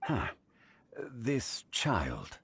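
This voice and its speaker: A man voicing a calm, learned gentleman in a video game, speaking in a formal, measured, articulate manner. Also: mid-range voice, creaky, breathy edge, no room sound, exaggerated voice. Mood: composed, thoughtful.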